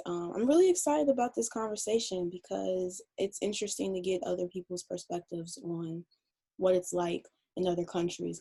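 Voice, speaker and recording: A young woman introduces herself in an earnest, casual way, her voice trailing off softly at times. Her tone is mellow and a little husky, set fairly low. She sounds like she is on a video call. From Chicago, United States. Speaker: student and activist guest.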